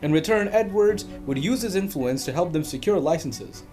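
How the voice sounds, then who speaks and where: A man reading a scripted history documentary as the narrator, with a dramatic storytelling delivery and emphatic pauses. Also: a little high, expressive, darkish tone, faint music behind.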